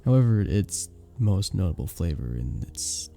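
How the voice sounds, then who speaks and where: Charming male vampire bartender, acted by a man for ASMR roleplay: lowish, very soft dark tone, teasing and flirtatious, with lingering pauses. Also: big pitch swings, creaky, soft background music, full character voice. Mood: suave, playful. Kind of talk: scripted ASMR roleplay, addressing the listener.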